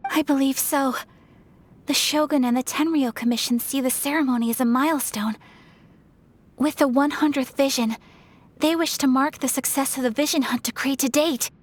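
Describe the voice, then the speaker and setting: A woman's voice: sits high, moderate pace, natural voice. A woman playing a refined, earnest young noblewoman in a video game, speaking formally and with poise. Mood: resolute.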